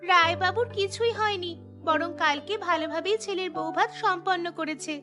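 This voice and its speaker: A woman voicing a gossipy, fawning village follower in a cartoon, with an animated, tattling manner. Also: very high voice, normal speed, music in the background. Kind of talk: Bengali cartoon dialogue.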